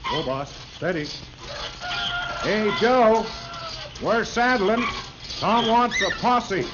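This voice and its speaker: An actor playing an easygoing young farmhand in a 1930s radio western. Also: male voice, sits high, lively intonation, slow pace. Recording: muffled, noisy 1930s recording.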